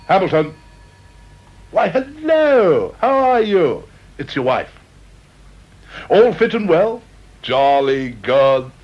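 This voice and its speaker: A British actor in a radio drama playing a middle-aged, genial senior personnel chief, breezy, avuncular and chatty. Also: male voice, a little high, big pitch swings, slow, long pauses, a little mellow, heavily acted. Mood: breezy, hurried. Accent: British English. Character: genial, chummy senior personnel chief, old-school gent. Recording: dull, lo-fi old radio recording.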